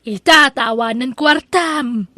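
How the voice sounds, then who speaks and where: A woman's voice: sits high, slow pace, sharp tone, no echo, clean studio sound, full character voice. A woman playing a nosy, scolding village gossip in an Ilocano radio drama, exclamatory and prying. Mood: scolding concern. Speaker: actor in a radio comedy drama.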